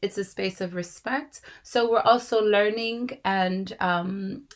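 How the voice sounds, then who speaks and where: A woman in her thirties with a slightly raspy voice from a cold, warmly welcoming guests to an online meeting with many fillers. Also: mid-range voice, slow. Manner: gracious, rambling with fillers. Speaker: host. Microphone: video call.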